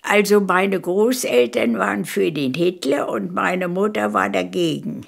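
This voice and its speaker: A woman of about 100 from Königsberg in former East Prussia, reminiscing in an unhurried, halting way in a documentary interview. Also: medium pitch, gravelly. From Königsberg, East Prussia, Germany. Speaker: documentary interviewee, eyewitness recalling her life. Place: furnished living room, indoors.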